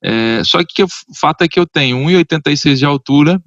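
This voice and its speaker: A man speaking as a guest on a video call, measured and slightly hesitant. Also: fairly high pitch, fairly bright tone, dry recording, muffled recording. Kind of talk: casual video-call chat.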